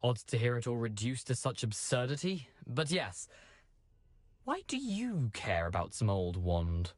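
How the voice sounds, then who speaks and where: An actor playing the jaunty, theatrical ghost of a beheaded gentleman in a video game, with a British accent and a flowery, wry, genteel delivery. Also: mid-range voice, very animated, unhurried, no echo, clean studio sound. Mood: wry, rueful.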